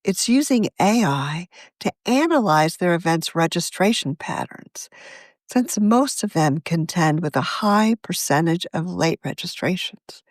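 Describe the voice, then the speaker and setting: Female narrator, lively pitch, measured article read, very dry recording. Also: dry recording. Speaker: magazine editor reading own article.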